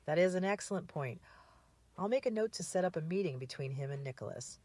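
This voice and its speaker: An actress playing a shrewd, composed female community leader in an audio drama, measured and articulate in manner. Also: on the low side, lively intonation, a little mellow. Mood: businesslike. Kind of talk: fan audio drama acting.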